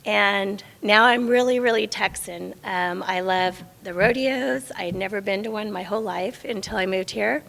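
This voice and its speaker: Middle aged lady, super creaky voice, chatty unscripted intro speech, roomy echo. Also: mid-range voice, normal speed, hardly any pauses, a bit bright. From western US, born in Los Angeles and raised in Las Vegas. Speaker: realtor at a speaker training class. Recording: echoey hall.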